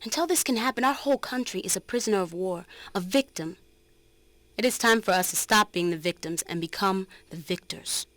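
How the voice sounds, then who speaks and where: A woman giving a dramatic reading as a resolute, reflective former guerrilla fighter, measured and earnest. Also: mid-range voice, a bit bright, dry recording, natural voice. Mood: impassioned. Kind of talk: dramatic reading of a written testimony.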